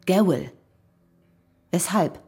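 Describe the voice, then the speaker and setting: An actress playing a strained, reproachful wife who keeps herself under control in a radio crime drama. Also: female voice, on the low side, animated, drawn-out words, warm tone, full character voice. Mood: guarded, cool. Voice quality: husky, breathy.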